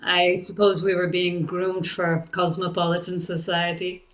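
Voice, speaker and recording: A woman playing a reflective modern Bengali narrator, telling a poet's life in measured, expressive scripted narration. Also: on the low side, close to an everyday voice. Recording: echoey, roomy sound.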